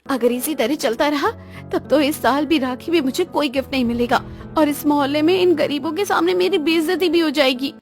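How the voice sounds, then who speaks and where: Woman voicing a high-pitched, whiny young sister. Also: fairly quick, soft background music. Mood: envious, scheming. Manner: whiny, dramatic. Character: spoiled, envious young sister craving her brother's affection.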